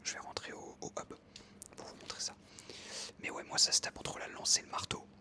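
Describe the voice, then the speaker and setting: An ASMR creator whispering casually into a close microphone over gameplay, rambling with frequent hesitations. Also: fairly quick. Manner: soft whisper, casual and rambling with many fillers.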